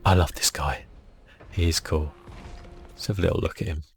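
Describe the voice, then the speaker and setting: A man giving relaxed, casual ASMR game commentary in a soft, breathy, half-whispered voice, musing to himself as he plays. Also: a bass voice, normal speed. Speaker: gaming channel host.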